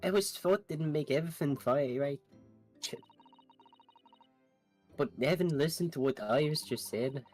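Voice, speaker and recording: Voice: medium pitch, normal speed, pauses often, a little mellow, lightly acted. Streamer doing a streetwise young game girl, skeptical, slangy, music behind. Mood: wistful. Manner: colloquial, skeptical, dropped grammar. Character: blunt, streetwise, distrustful young orphan girl. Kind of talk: fan-dub of a video game.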